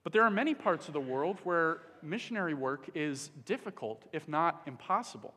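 A man from the Dominican Republic preaching a sermon in an earnest, measured way, pausing with fillers. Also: fairly high pitch, animated, bright, forceful tone, husky. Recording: long hall reverb.

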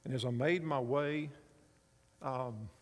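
A man's voice: medium pitch, slow, in a large hall. A man in his fifties preaching a sermon, telling a story earnestly and drawing the congregation in. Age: 50s. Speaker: pastor and chaplain.

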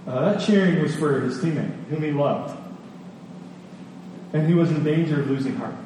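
A man giving a sermon in a conversational way, telling personal stories with emphatic repetition and pauses. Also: fairly high pitch, dark tone. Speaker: preacher. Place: large reverberant room with an audience. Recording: echoey hall, distant, faint noise.

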